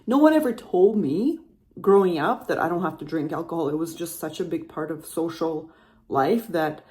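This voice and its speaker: Woman from Russia, podcast host, lowish voice, rambling personal monologue, self-correcting. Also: darkish tone.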